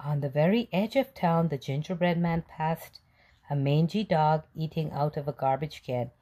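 A woman narrating a children's picture book as a read-aloud storyteller, in an expressive, deliberate manner. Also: deep voice.

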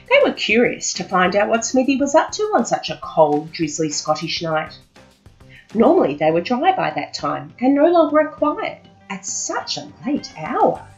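A woman reading a children's storybook aloud with expressive, dramatic emphasis, in a slightly muffled recording. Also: lowish voice.

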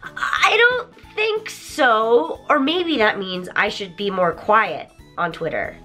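A woman in her thirties talks to her viewers from a home studio, her voice croaky from a recent sore throat. Her tone is bright and forceful, and she chats in a lively, apologetic way. Soft music plays faintly underneath. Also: on the high side, takes it slowly. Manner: chatty, animated, apologetic. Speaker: YouTuber. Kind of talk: casual vlog to camera. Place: bedroom studio with toy-filled shelves.

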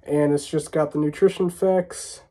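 A man's voice: a little high, steady tone, normal speed, noisy background. A young American man with a stuffy, congested voice from a cold, chatting casually to camera as a reviewer in a small room. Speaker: vlogger reviewing drinks.

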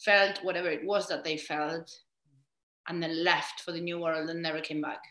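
Bright-voiced woman on a roomy video call. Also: low voice, flat delivery, moderate pace. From Argentina. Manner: thoughtful, searching for words. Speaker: host, moderator. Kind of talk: conversational panel discussion. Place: home room with bookshelves.

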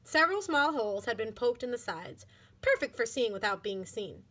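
High-pitched, lively woman reading a storybook. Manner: expressive, deliberate read-aloud. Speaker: elementary school teacher reading aloud. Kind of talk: children's storybook narration.

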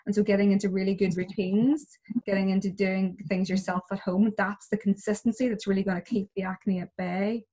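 A woman's voice: medium pitch, little pitch movement, brisk, poor audio. A middle-aged woman co-hosting a skin clinic chat on a video call, talking conversationally and chiming in with agreement. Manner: warm, conversational, interjects with agreement. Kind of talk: casual chat.

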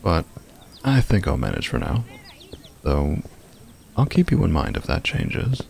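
A man performs a menacing gentleman stranger in a fantasy audio drama. His voice is deep and swoops expressively, with a gravelly edge. He stays courteous while he taunts. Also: huge pitch swings, measured pace, slight background noise, heavily acted. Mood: courteous, pleasant. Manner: polite, drawling, taunting. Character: smooth menacing male stranger, falsely courteous villain. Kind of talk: fantasy audio roleplay acting.

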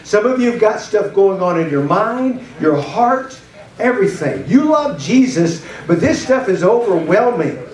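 A middle-aged man preaching a sermon as pastor, animated and gesturing as he moves about a church stage with a handheld mic. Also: high-pitched, unhurried. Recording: roomy hall sound from a distant camera.

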